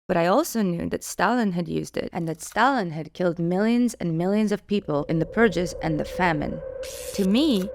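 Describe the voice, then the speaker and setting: A woman's voice: fairly low pitch, gravelly, darkish tone. A young woman from Russia narrating an earnest, opinionated video essay as its host.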